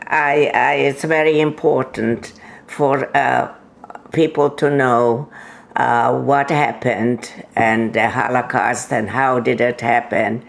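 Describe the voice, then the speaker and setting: Slow, gravelly woman in her nineties. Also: very deep voice, steady tone. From Hungary. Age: 90s. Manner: slow, halting, with many pauses and fillers. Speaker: interview guest. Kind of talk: oral history interview.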